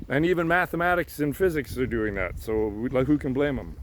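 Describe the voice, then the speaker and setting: A man in a halting, rambling unscripted monologue, frustrated and insistent, swearing and repeating himself. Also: medium pitch. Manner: frustrated, insistent, profanity-laced; halting, repeats words and restarts. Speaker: amateur theorist making videos. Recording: noticeable background noise.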